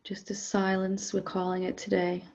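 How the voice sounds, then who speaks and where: Woman, meditation teacher, calm and gentle, very level intonation, unhurried pauses, slightly muffled recording. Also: medium pitch. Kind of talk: guided meditation, softly instructive.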